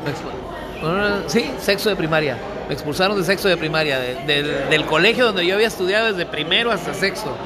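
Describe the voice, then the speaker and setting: High-voiced man telling stories. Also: lively intonation, a little fast, over a noisy crowd. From Mexico. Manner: laughing storyteller, slangy. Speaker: singer-songwriter guest. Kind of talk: casual interview chat.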